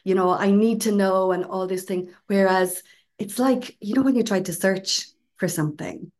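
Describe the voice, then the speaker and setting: Conversational woman, mid-pitched, explaining. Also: normal speed, darkish tone. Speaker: podcast co-host. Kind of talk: casual chat.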